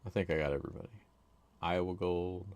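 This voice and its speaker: A man hosting a casual livestream, chatty and laid-back, with a loud voice, talking into a desk microphone at his home streaming setup. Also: low voice, steady tone, fast. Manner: chatty, laid-back, reads chat names aloud. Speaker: livestream host.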